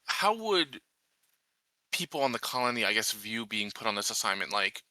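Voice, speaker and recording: A man's voice: fairly low pitch, very animated, moderate pace, bright, forceful tone, no echo. A man chatting casually as a tabletop game player, hesitant and questioning. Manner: hesitant, questioning, restarts to think.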